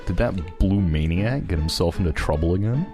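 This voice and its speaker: Guy doing a gruff low cartoon echidna, skeptical and curt, music behind. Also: moderate pace, natural voice. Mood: irritated. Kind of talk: fan fiction voice acting.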